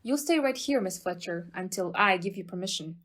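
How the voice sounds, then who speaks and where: A woman playing an excitable, gruff police inspector in an audio play, blustering and commanding. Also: medium pitch, fairly quick. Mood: bossy, firm.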